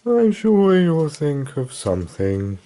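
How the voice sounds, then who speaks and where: A man voicing a gentle, lonely, friendly storybook dragon with a mild, unhurried manner. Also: on the high side, big pitch swings, voice pushed up. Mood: reluctant.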